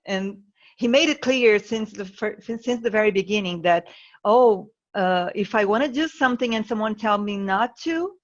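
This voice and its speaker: A woman's voice: medium pitch, animated, normal speed. A woman from Brazil with a Brazilian Portuguese accent, a non-native English speaker, calling in hesitantly with nervous laughs. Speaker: caller. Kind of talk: casual call-in conversation. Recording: slightly dull call audio.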